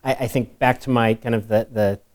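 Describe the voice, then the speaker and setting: Male academic panelist, casual and hedging, medium pitch. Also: steady tone, a little fast. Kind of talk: panel discussion.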